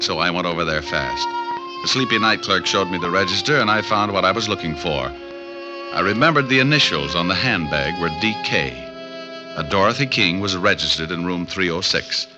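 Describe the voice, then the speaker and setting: An actor playing a wisecracking, tough private detective in vintage radio drama style, dry and sardonic. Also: male voice, low voice, animated, moderate pace. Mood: hardboiled narrating. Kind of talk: 1940s-50s radio detective acting with first-person narration. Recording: dull vintage radio recording with music.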